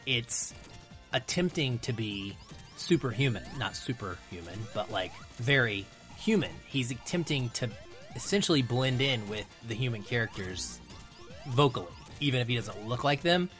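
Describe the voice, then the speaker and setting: A man's voice: medium pitch, animated, a little slow, a bit bright, soft background music. A man around his thirties or forties talking casually as a podcast guest, explaining his film opinions in an analytical, emphatic way.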